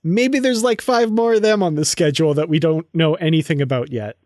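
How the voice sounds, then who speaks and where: Enthusiastic man with a slightly high voice. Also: fairly quick, a little mellow, dry recording, clean studio sound. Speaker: podcast co-host. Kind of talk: casual podcast chat.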